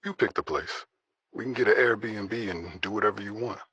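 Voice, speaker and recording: A man's voice: lowish voice, moderate pace, pushed and bright, breathy edge, no room sound, highs cut off, heavily acted. A man acting in an audio drama as a persuasive, yearning secret lover, speaking in a coaxing, intimate way.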